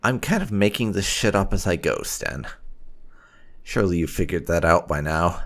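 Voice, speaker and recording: A man hosting a roleplay let's play, commenting in a theatrical, wry, drawn-out way. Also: sits low, lively intonation, a bit bright. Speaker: gaming channel host. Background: faint music.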